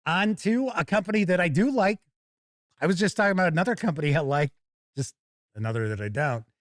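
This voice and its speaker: A man's voice: high-pitched, fast, no room sound, studio quality. A man hosting a live gaming news show, chatty and wry, moving between casual asides and reading out news copy. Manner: animated, wry, conversational.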